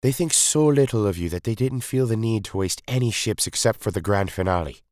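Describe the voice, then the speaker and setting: A man's voice: lowish voice, lively intonation, fairly quick, darkish tone, no echo, studio quality, pitched above the performer's own voice, close to an everyday voice. A man voicing a grave, urgent Time Lord hero. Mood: urgent, resolute. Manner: emphatic, commanding.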